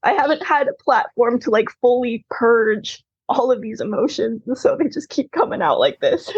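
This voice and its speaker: A husky, slightly breathy woman in her thirties, an author born in Taiwan with Mandarin as her first language. She talks earnestly and openly, full of "like" fillers, her voice close to tears. The sound is dry and a little dull, as over a video call. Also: fairly high pitch, big pitch swings, moderate pace, no room sound. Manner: earnest, candid, frequent "like" fillers. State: tearful, close to crying. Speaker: guest, adoptee author. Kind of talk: emotional interview conversation.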